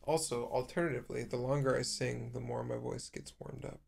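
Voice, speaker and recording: A young man with a raspy voice, singing along to his own acoustic guitar at a stand mic in a cluttered home music room, then chatting loosely between lines. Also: mid-range voice, fairly even intonation, a little fast, darkish tone. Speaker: live-streaming musician. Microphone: stand mic in front of him.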